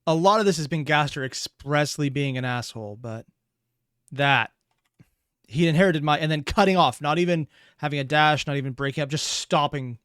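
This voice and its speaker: A man's voice: a little high, normal speed, a bit bright, clear, resonant tone, no echo, clean studio sound. A man hosting a reaction video, talking casually in a rambling, analytical way.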